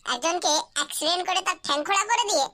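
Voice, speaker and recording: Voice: extremely high pitch, very fast, heavy background noise. A performer voicing a sarcastic young village boy in a comedy cartoon, mocking and accusing.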